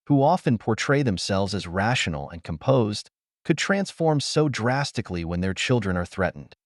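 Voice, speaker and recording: Low-pitched man narrating with lively intonation. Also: no echo, clean studio sound. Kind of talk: scripted science fiction audiobook narration.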